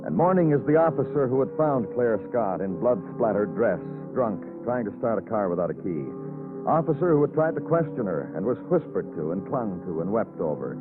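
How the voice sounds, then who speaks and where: An actor playing a world-weary police lieutenant, narrating an old radio noir drama with solemn, deliberate phrasing. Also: male voice, fairly low pitch, big pitch swings. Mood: somber. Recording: tinny old radio recording, music behind.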